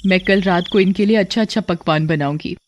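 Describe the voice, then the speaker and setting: A woman's voice: fairly low pitch, a little fast, darkish tone, dull audio, slight background noise, close to an everyday voice. A woman voicing a tailor's kind, grateful wife in a cartoon story, with an earnest manner. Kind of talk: Hindi cartoon story dialogue.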